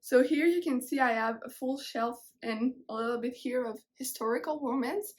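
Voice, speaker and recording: A woman's voice: high-pitched, moderate pace. Chatty young Québécois woman vlogging. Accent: Québécois French. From Quebec. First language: French. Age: 20s. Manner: chatty, many fillers and restarts. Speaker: book vlogger. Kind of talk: casual chat to camera. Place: home room.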